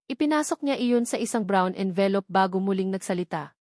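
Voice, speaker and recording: A woman's voice: mid-range voice, expressive, a little fast, a little mellow, no room sound, studio quality. A woman with a Filipino accent reading a scripted first-person novel as an audiobook narrator.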